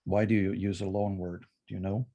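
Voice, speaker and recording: He is a man, his voice low and warm. He talks in a thoughtful, scholarly way over a video call, circling back to restart words as he explains their roots. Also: level tone, fairly quick. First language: Finnish. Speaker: presenter answering questions. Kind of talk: academic discussion.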